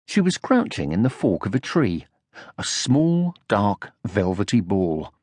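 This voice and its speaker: A man narrating a children's audiobook from a script in an expressive storytelling style. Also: lowish voice, unhurried, no echo.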